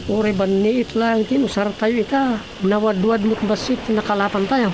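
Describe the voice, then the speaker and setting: A woman's voice: mid-range voice, fairly even intonation, moderate pace, music in the background, close to an everyday voice, rough recording. Earnest young boy fisherman played by a woman. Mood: eager. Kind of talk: radio drama acting.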